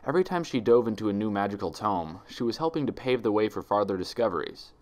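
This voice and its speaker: A man narrating a written story in a deliberate, dramatic storytelling manner. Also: on the low side, animated, darkish tone. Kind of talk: scripted narration, dramatic fan fiction reading.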